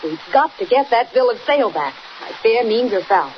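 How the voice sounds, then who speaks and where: A woman playing a brave, brisk woman reporter with a firm, commanding manner in a 1940s radio serial. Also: on the high side, big pitch swings. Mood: determined. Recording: slightly noisy, muffled 1940s radio recording.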